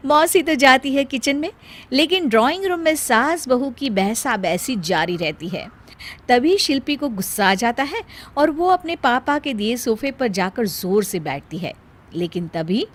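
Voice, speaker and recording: A woman's voice: high-pitched, big pitch swings, a little slow. A woman narrating a scripted moral story as a storyteller.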